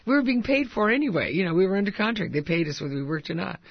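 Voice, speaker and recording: An older actress with a husky voice, chatting and telling stories in an interview with frequent laughs. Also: female voice, on the low side, big pitch swings, rapid-fire, no echo, highs cut off. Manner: chatty, laughs often, warm storytelling. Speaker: actress guest. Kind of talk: casual interview chat.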